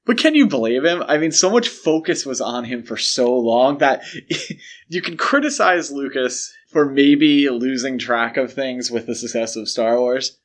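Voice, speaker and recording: A man's voice: mid-range voice, a little slow. Man co-hosting an explanatory podcast. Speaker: podcast co-host. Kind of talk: casual film franchise discussion.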